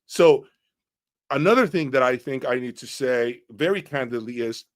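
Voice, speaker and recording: A man's voice: medium pitch, lively intonation, moderate pace. A man reviewing audio gear in an informal, opinionated breakdown, speaking bluntly and emphatically and often checking in with the listener.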